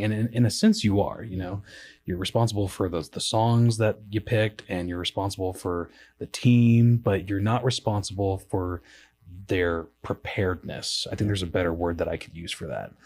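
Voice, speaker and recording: A man's voice: low-pitched, darkish tone. A man in his late twenties hosting a casual worship podcast, upbeat and joking, asking questions and giving advice. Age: 20s. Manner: upbeat, joking, quick asides. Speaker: podcast host, worship leader.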